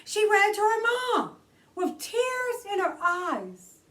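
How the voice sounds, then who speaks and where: A woman reads a children's story aloud as a storytime host, with a high voice that swings widely in pitch. Her delivery is slow and deliberate, leaning on key words with drawn-out emphasis. She sounds slightly distant from the microphone. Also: very animated, a bit bright, a little hiss or hum.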